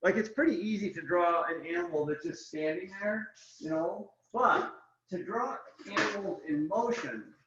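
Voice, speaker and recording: Higher-pitched man chatting in an echoey room. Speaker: picture book illustrator, guest. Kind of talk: casual explanatory chat about art. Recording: roomy echo, slightly dull lo-fi sound.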